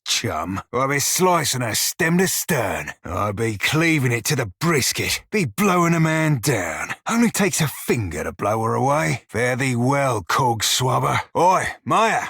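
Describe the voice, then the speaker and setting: A roguish sea dog from a fantasy video game, crude and teasing yet protective. His husky voice drawls sailor slang, taking its time and rising and dipping freely. The sound is dry and close. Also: medium pitch, big pitch swings, measured pace, few pauses, fairly bright tone, dry recording, full character voice. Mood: brash, fighting. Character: blue-skinned roguish pirate shiphunter, salty, crude, teasing, protective. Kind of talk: video game character dialogue and combat barks.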